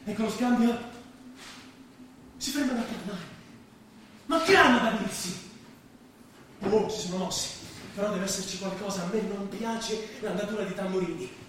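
An actor playing a proud, desperate refugee besieged by police, declaiming in a stage drama. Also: male voice, high-pitched, normal speed, pauses often, fairly bright tone. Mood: anxious watching. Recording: echoey theatre stage, distant, noisy.

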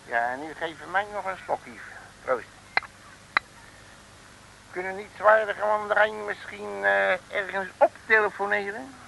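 A creaky, cheeky sly detective. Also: male voice, high-pitched, measured pace, pauses often, noisy background, heavily acted. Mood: cheeky, scheming. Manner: wily, slangy, talks mock pidgin. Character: sly, cheeky male detective posing as a Chinese mandarin. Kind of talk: 1950s Dutch comic radio serial acting. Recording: bright, old radio serial recording.

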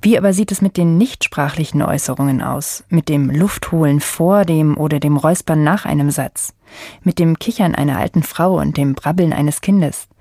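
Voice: mid-range voice, normal speed, darkish tone, dry recording, clean studio sound. An announcer reading a scripted introduction to a radio programme.

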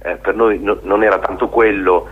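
A man being interviewed on radio, speaking in a halting, reflective way and often checking for agreement. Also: fairly low pitch, steady tone, slightly rough. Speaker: theatre maker being interviewed. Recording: dull, lo-fi radio interview sound.

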